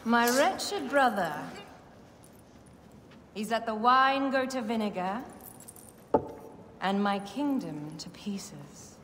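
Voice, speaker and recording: A woman's voice: fairly high pitch, expressive, slow pace, long pauses. Imperious queen in a reverberant hall. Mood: scornful. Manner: regal, disdainful. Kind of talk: video game cutscene acting. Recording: long hall reverb, faint background noise.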